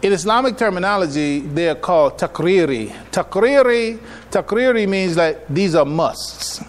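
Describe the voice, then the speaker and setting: A man giving a public religious lecture as an imam, speaking in a halting, deliberate way and often repeating his phrases. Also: sits high, animated. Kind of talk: public religious lecture, partly reading from notes. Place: live public address in a room.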